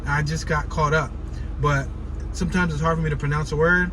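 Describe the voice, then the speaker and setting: A man's voice: a little high, steady tone, fairly quick. A man with a slight stutter, casually narrating a driving tour from his car and chatting playfully with viewers. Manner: chatty, playful, coins words, talks to viewers as y'all. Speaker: vlogger giving a driving tour. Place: inside a car driving through city streets. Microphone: camera mic in a moving car. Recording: road and engine noise.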